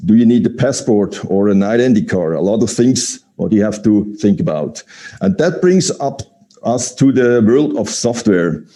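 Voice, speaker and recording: A man giving a live-streamed business presentation in halting English, full of fillers and searching for words. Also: fairly low pitch, animated, warm tone. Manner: halting, many fillers, non-native phrasing. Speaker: company presenter.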